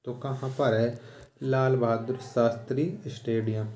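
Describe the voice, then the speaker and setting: A man's voice: medium pitch, little pitch movement, a little slow, warm tone. A man teaching exam quiz material, reading out questions, repeating them rhetorically and giving the answers in an instructional way.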